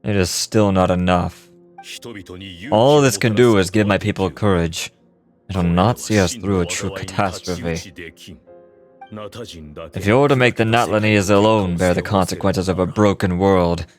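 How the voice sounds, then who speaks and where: A man's voice: low voice, a little slow. An actor playing a resolute male leader in a fantasy game, speaking in a solemn, declamatory manner. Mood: grave, resolute. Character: resolute male hero-leader bargaining for his people. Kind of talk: fantasy video game voice acting. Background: music.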